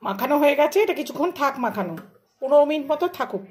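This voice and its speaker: A woman's voice: high-pitched, animated, racing, darkish tone, slight background noise. A woman, a home cook narrating a recipe step by step in plain, short, casual phrases. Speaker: home cook hosting a cooking channel.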